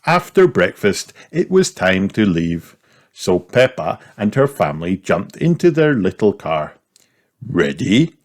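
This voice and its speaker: Guy reading a kids picture book, slow n lively, lowish voice. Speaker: storybook reader. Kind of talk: scripted children's story narration.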